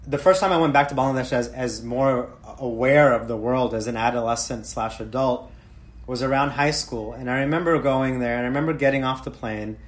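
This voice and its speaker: An American man telling a personal story on a panel in a halting, reflective way. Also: medium pitch. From United States.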